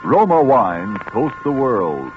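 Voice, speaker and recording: A man's voice: mid-range voice, big pitch swings, takes it slowly, background noise. An American man reading a polished, formal radio commercial in 1940s announcer style, on an old broadcast recording. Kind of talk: 1940s radio advertising read. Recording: tinny, band-limited 1940s radio recording.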